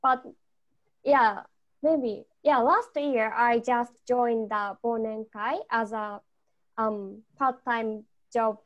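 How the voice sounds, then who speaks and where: Slow young woman. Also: on the high side, fairly even intonation, dull audio. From Japan. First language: Japanese. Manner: shy, searching for words, laughing softly. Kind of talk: casual panel discussion.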